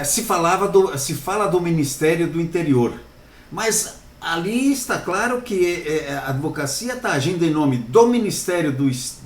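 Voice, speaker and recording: A man's voice: high-pitched, roomy sound, a little hiss or hum. A man interviewing a lawyer, framing long questions hesitantly and restarting words.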